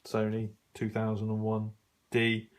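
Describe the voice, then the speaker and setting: A middle-aged man giving a casual, unscripted review, musing and halting as he thinks aloud while browsing. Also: fairly low pitch, completely flat delivery. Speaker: radio hobbyist reviewing an old magazine.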